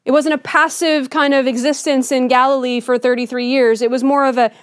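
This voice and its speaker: A woman preaches a Christmas sermon in a church, speaking in a high voice. Her delivery is earnest and conversational, and she often hedges with "kind of." The recording is dry and close, with little room sound. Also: level tone, a little fast, smooth, no room sound. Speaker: pastor. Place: church sanctuary with a Christmas tree.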